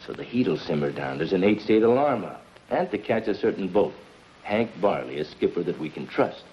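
A man's voice: low voice, expressive, normal speed. An actor playing a tough, practical henchman with clipped, hard-boiled talk in a 1950s television crime drama. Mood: businesslike, clipped. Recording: muffled, hissy 1950s TV soundtrack.

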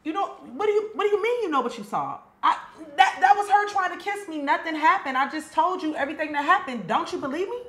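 A woman's voice: mid-range voice, lively intonation, fairly bright tone, roomy sound, lightly acted. A woman playing a defensive young woman who insists she is innocent, in a comedy skit. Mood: indignant. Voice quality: quick, emphatic, slangy delivery. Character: defensive young woman accused by her best friend.

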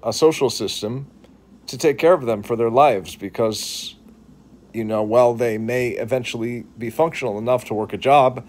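Man, New England accent, earnest, halting, phone at arm's length, living room couch. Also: mid-range voice, a little mellow. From New England, Boston. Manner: earnest, halting, many restarts and fillers. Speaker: vlogger. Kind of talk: reflective talk to camera. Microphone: phone camera at arm's length.

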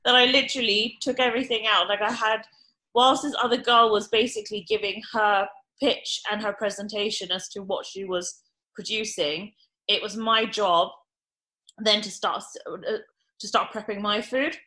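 A woman in her thirties with a bright, clear voice, recounting a story to a podcast host. She talks in an animated, chatty way, full of "um" and "like" as she builds the tale. Her pitch sits in the middle for a woman. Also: normal speed, rough recording. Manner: animated anecdote-telling with many fillers. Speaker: restaurant owner guest.

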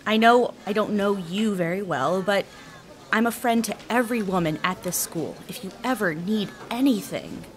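Medium-pitched, reassuring professor, woman acting. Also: moderate pace, natural voice. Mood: concerned. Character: caring, self-assured female college professor. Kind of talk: audio drama acting.

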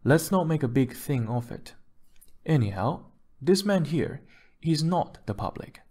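A man voicing an older husband and musician in an audiobook, measured and affectionate, firm but soothing as he chides his wife. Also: a little high, big pitch swings, husky, voice pushed up, heavily acted. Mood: placating. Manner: gently chiding, measured, affectionate.

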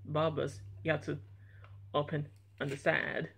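Young man with a gravelly voice reviewing eggnog. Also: fairly high pitch, completely flat delivery, fairly bright tone. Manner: plain, unhurried, slightly halting talk. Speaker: product reviewer. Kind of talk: casual taste-test vlog. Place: small indoor room at home. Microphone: camera on table in front.

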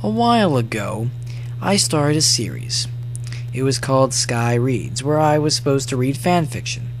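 A man's voice: mid-range voice, animated, unhurried. A man chatting casually as a video host, giving opinionated, emphatic commentary in a rambling way. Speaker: video host introducing a fan fiction reading. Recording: noisy recording with mains hum.

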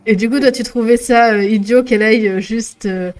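A woman's voice: fairly high pitch, fairly even intonation, fairly quick, a little mellow, faint music behind. A young woman co-hosting a live stream, teasing and joking in casual chat. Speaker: stream co-host.

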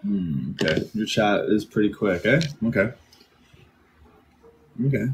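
A young man in a casual livestream chat, teasing and playful. His pitch swings widely as he talks, and a faint hiss sits behind his voice from the phone camera. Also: lively intonation, measured pace. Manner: teasing, playful and loose with swearing. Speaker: livestream host. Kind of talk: casual live chat game. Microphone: phone camera on a live stream.

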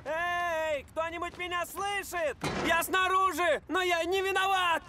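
Shrill, fussy gnome. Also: male voice, fairly even intonation, measured pace, music in the background. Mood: panicked. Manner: indignant, agitated, exclaiming. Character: fussy, panicky male gnome rule enforcer, scornful of humans. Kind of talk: animated film dubbing.

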